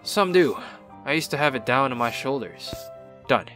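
A man playing a dry, reserved young man in a cartoon comic dub, with a terse, deadpan way of talking. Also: medium pitch, moderate pace, soft background music. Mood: calm, curious. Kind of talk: animated comic dub acting.

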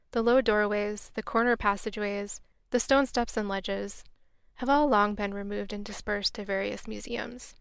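A woman's voice: medium pitch, vocal fry, fairly bright tone, clean tone, no echo. A woman hosting a podcast, reading scripted travel narration in a reflective, wry, earnest way, on a close mic. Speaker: podcast host.

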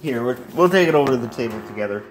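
A man in his twenties casually demonstrating home cooking to camera in a halting, rambling, self-deprecating way. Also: medium pitch, animated. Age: 20s. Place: small home kitchen and dining room. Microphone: handheld or propped phone near arm's length. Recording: roomy echo, kitchen noise with sizzling.

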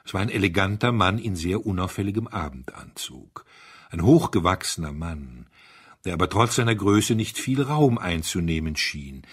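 A man narrating a mystery story from a script in an expressive, dramatic storytelling manner. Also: low voice, moderate pace, slightly rough. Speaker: audiobook narrator.

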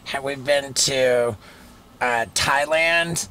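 A podcast host in his late forties, asking quick questions with eager, stammering restarts at a studio mic. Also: medium pitch, sharp tone. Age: 40s. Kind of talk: casual chat. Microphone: studio mic on boom.

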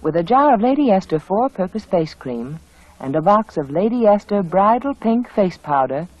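A woman's voice: medium pitch, very animated, normal speed, a little mellow. A woman delivering a scripted 1940s radio advertisement as a beauty spokeswoman. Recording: dull vintage radio recording.

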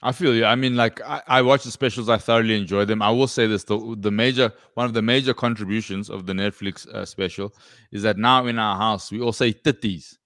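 A laid-back man in his thirties hosting an interview over a video call, with a lowish voice and an easy, joking way of asking questions. He sits close to a desk microphone at home, and the sound is dry and close. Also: fairly even intonation, a little fast, fairly bright tone, no echo. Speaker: host. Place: home room with bookshelves.

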